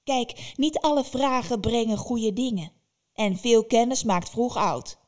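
A woman voicing a fierce, commanding old witch in a spoken fairy tale, loud and stern. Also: fairly high pitch, unhurried, voice pushed up, lightly acted. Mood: boastful.

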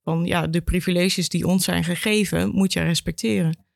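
Even-toned woman telling history informally. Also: fairly low pitch, normal speed, gravelly, dry recording, studio quality. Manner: informal, retells history with imagined quotes. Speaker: education worker, interview guest. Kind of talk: conversational historical explanation.

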